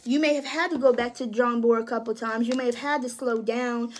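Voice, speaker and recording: High-voiced, cussing woman tarot reader. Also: steady tone, a little fast, a little hiss or hum. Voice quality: high-pitched voice. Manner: profane, slangy and emphatic. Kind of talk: casual tarot reading.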